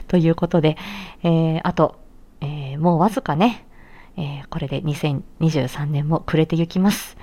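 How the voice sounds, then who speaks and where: Low-pitched woman host, casual livestream chat. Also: a little mellow. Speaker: solo audio livestream host and amateur singer.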